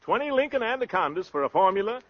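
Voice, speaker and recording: A muffled 1930s radio recording of a breezy show-off suitor. His voice sits fairly high and swings widely in pitch, full of glib slang and pompous flourishes. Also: very animated, fast. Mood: boastful. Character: brash, boastful young suitor, a breezy windbag show-off. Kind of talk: 1930s radio comedy acting.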